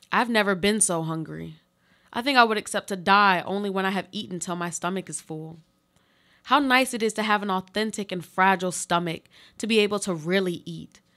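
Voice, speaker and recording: A woman playing a desperate, pleading earthquake victim buried alive, in a deliberate, literary radio play monologue. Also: mid-range voice, a bit bright, close to an everyday voice. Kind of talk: live radio play monologue, read from a script.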